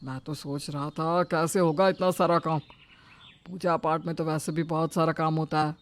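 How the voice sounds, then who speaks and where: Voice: mid-range voice, little pitch movement, normal speed, natural voice. A performer voicing a cheerful, admiring younger brother in a cartoon moral story, praising in an easygoing way.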